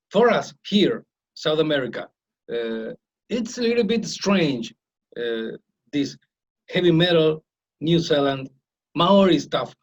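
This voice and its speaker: A man hosting a radio interview in careful, hesitant English full of pauses and "uh"s. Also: high-pitched, highs cut off. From Argentina. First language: Spanish. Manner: hesitant, halting English with many "uh"s. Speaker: radio host.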